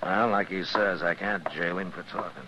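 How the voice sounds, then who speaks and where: Very deep-voiced laconic Old West marshal, acted by a man. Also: moderate pace, noisy background, close to an everyday voice. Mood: wry, unhurried. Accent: American. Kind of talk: 1950s radio western acting. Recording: dull old radio recording, murmuring background crowd.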